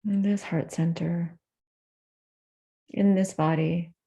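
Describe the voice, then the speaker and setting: Slow, mellow woman guiding meditation. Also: fairly low pitch, steady tone, gravelly. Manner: gentle, unhurried phrasing with soft pauses. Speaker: meditation guide. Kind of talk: guided meditation.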